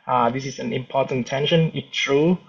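A man, giving an academic seminar talk in a halting, relaxed way with frequent restarts. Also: mid-range voice, gravelly, slightly rough. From Vietnam. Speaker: cosmology researcher giving a seminar. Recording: dull lo-fi recording.

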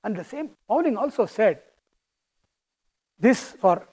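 A middle-aged man with a strong Indian accent giving a physics lecture, speaking in a halting, thoughtful way with fillers and restarts. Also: high-pitched, lively intonation, warm tone, slightly rough, rough recording. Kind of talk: academic lecture.

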